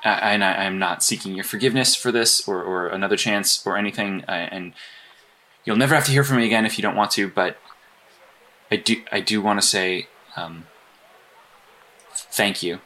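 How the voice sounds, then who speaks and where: A man acting as an earnest hero-industry agent in an audio drama. His voice sits a little low but has a bright, forceful edge. He speaks haltingly, stammering his way along in an awkward, apologetic manner. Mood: contrite, halting.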